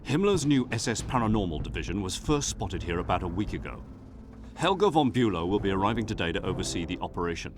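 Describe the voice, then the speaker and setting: Brisk wartime secret agent. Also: male voice, low-pitched, sing-song, background noise. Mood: brisk, businesslike. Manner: brisk, authoritative briefing. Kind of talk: dramatic game cutscene dialogue.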